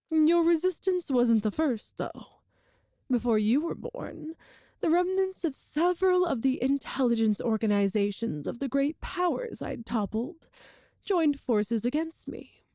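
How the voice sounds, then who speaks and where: Woman doing an evil immortal sorceress queen, kinda high but mellow, talks real slow and smug, like an audio roleplay villain. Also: dry recording, clean studio sound. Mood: smug, confiding. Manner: deliberate, condescending, grandiose. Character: cruel, ancient immortal sorceress empress, smugly superior. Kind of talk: scripted dramatic monologue, ASMR roleplay.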